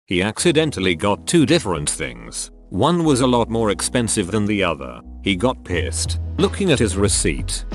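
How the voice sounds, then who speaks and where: A man reading forum posts aloud as a narrator, even and methodical, spelling out abbreviations letter by letter. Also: lowish voice. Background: soft background music.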